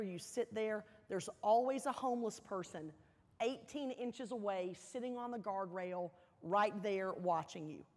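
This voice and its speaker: A woman giving a motivational speech, telling a personal story deliberately, with dramatic pauses and emphatic repetition. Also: fairly high pitch. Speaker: keynote speaker. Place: large hall, speaking to an audience. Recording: echoey hall, long reverb.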